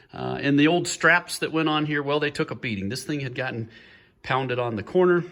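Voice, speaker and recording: A man's voice: medium pitch, normal speed, fairly bright tone. A man casually presenting a hobby build in an informal, chatty, lightly joking way, recorded on a handheld phone. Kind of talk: casual show-and-tell walkthrough.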